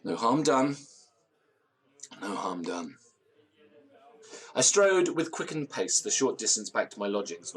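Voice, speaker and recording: An actor playing a pompous, excitable gentleman who speaks in florid, theatrical language in an audio drama. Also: medium pitch, breathy edge, low-quality recording. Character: pompous, excitable Victorian-style gentleman storyteller. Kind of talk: dramatic first-person storytelling in audio drama.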